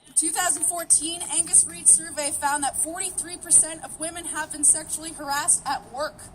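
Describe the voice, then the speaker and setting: A woman's voice: high voice, normal speed, very noisy. A woman, who first spoke Greek, gives an earnest, determined prepared speech at an outdoor rally. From Canada. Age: 30s. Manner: earnest, steady, determined delivery. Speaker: rally speaker. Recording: voice picked up from a distance.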